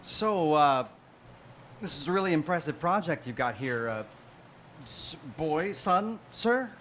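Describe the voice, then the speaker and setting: A man's voice: on the high side, animated, measured pace, a bit bright, slight background noise. A man playing an awkward, regretful middle-aged starship captain in a melodramatic parody stage musical. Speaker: musical theatre performer in a staged reading.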